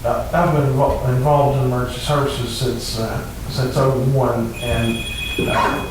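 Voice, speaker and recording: A middle-aged man with an East Tennessee accent reports in a flat, halting, matter-of-fact voice. He is picked up from a distance in a very echoey room with clear background noise. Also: mid-range voice. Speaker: county emergency services official. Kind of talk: informal report at a public meeting. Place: echoey indoor meeting room.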